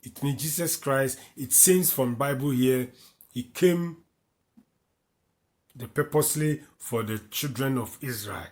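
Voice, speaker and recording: A man's voice: medium pitch, unhurried, a little mellow. A man from Africa, teaching from the Bible by reading verses aloud and then explaining them, repeating key lines. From West Africa. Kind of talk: slow, repetitive Bible commentary.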